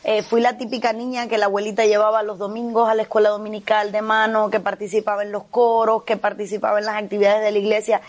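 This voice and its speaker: A woman's voice: mid-range voice, little pitch movement, fast talker, few pauses, lo-fi sound. A middle-aged woman pastor from Cuba, with a Cuban Spanish accent, telling her testimony with fervent earnestness in a TV interview.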